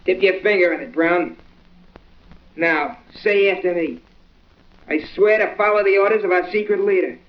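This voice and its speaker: Man playing a rough, high-voiced gang kid. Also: moderate pace, pauses often, natural voice. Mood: solemn. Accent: American. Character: tough young boy, gang member swearing in recruits. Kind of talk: 1940s radio acting. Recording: muffled old 1940s radio recording.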